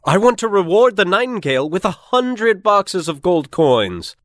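A theatrical emperor from a children's fairy tale, acted by a man. He is sentimental and excitable, and his pitch leaps about. The sound is close and dry. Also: normal speed, no room sound. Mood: delighted.

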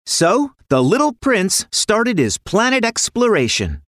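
A woman narrates a children's story with lively, varied intonation. Her delivery is expressive and scripted, in a close, dry recording. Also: mid-range voice, measured pace, no room sound.

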